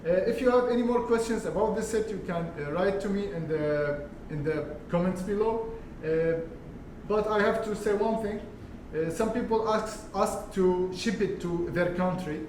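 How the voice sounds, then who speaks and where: A man whose first language is Arabic explains a hair care routine in English, his voice sitting high for a man. He stops and starts with lots of fillers as he picks his words. He sounds set back from the mic, in a strongly echoing room with faint noise underneath. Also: darkish tone. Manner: halting, many fillers and restarts. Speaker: hair transplant consultant. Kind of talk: casual product explainer. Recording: echoey room, voice from far off.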